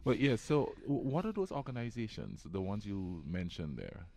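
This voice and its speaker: Deep-voiced laid-back male podcast host. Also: big pitch swings, normal speed, gravelly, mellow, dry recording. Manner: laid-back, uses island slang. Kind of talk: casual interview.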